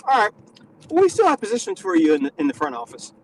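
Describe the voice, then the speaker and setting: A man's voice: high voice, wildly swooping pitch, fast, a little hiss or hum, low-quality recording. A man hosting a live stream, teasing his guest in crude, casual banter. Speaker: stream host.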